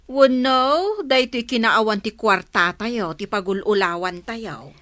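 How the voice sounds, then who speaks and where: A woman's voice: medium pitch, unhurried, fairly bright tone, no room sound, natural voice. A woman playing a grumbling, wary village vendor in a radio comedy drama, with an Ilocano accent. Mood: weary grumbling.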